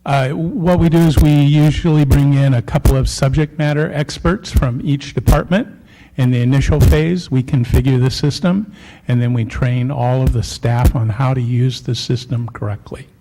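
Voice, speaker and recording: A man with a big voice, a software vendor answering council questions in measured explanations. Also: mid-range voice, steady tone, in a large hall, slight background noise, rough recording. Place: city council chamber.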